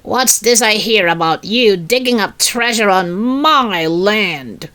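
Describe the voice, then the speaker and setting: A woman's voice: mid-range voice, expressive, slow, a bit bright, slight background noise. A woman voicing a wicked, furious male landlord in a storybook, blustering with indignation.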